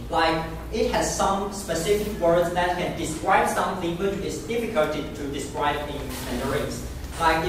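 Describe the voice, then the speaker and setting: Echoey distant speaker. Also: medium pitch. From Taiwan. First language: Taiwanese Hokkien. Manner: earnest, poses questions to the audience. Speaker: club speaker. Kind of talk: prepared speech to a live audience. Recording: very echoey room from a distance, noisy.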